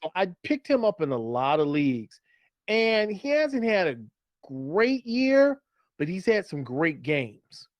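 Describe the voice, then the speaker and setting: Higher-pitched man talking football, dry sound. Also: very animated, unhurried, dry recording, muffled recording. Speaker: sports podcast co-host. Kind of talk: casual fantasy football chat.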